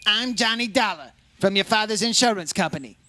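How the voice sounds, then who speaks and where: A man playing a brisk, hard-boiled insurance investigator in an old-time radio detective drama, terse and matter-of-fact. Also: high voice, animated, sharp tone, full character voice. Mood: probing.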